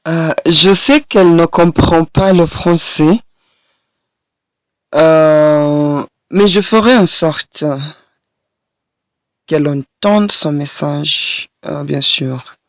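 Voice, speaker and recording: A woman in her thirties talks straight to her listener in a solo studio podcast, her voice hoarse and husky from a cold. She speaks very slowly and gently, with encouraging warmth and many small hesitations. The recording is dry and close, with no room sound. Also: deep voice, dry recording. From Kenya. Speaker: podcast host and French teacher. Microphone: studio microphone.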